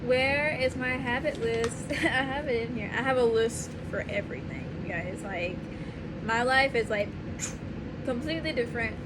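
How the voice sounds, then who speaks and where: A woman in her twenties, hoarse and raspy from swollen tonsils, talking earnestly and rambling in a casual home vlog. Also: fairly high pitch, normal speed. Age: 20s. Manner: earnest, rambling, repeats herself. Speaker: vlogger. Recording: home recording with clear background noise.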